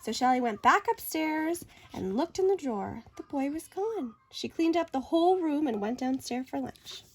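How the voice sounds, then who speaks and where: A woman reading a children's picture book aloud in an expressive, deliberate, dramatic way. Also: high-pitched. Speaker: story reader.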